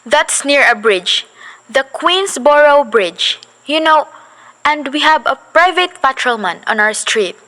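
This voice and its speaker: A fretful, demanding invalid housewife who grows impatient and talks in a rush, voiced by a young actress. Her voice sits high. It is a school radio play production. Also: animated, pushed and bright, heavily acted, rough recording. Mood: anxious. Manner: fretful, impatient, talks fast when agitated. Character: anxious, demanding invalid housewife, adult woman.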